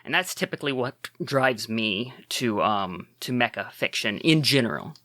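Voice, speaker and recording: A man's voice: mid-range voice, lively intonation, normal speed, pushed and bright, dry recording. A man guest on a podcast, talking casually and hesitantly with many ums and uhs. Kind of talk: casual interview chat. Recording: dry close podcast mic.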